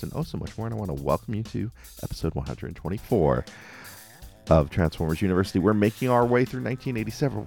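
A man's voice: low-pitched, vocal fry. A man hosting a casual fan podcast, recapping cartoon episodes in an enthusiastic, conversational way. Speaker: podcast host. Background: music under the voice.

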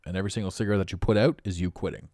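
Podcast host, raspy middle-aged man, deep and blunt, dead-dry sound. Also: steady tone, rapid-fire, darkish tone, no echo. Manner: blunt, preachy, emphatic. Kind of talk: motivational monologue.

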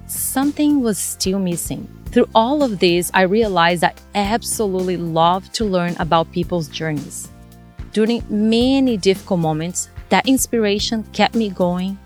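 A woman in her forties from Brazil, speaking English with a Brazilian Portuguese accent and hosting a podcast in an earnest, measured way. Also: mid-range voice. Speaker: podcast host. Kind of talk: scripted podcast intro and casual chat.

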